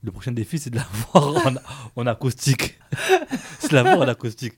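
A man's voice: mid-range voice, wildly swooping pitch, fairly quick, slightly rough. A man hosting a casual podcast interview, teasing his guest and repeating himself as he talks. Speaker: podcast host.

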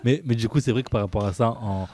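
A man's voice: medium pitch, quick pace, mellow. A man hosting a casual podcast interview, teasing his guest and repeating himself as he talks. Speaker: podcast host.

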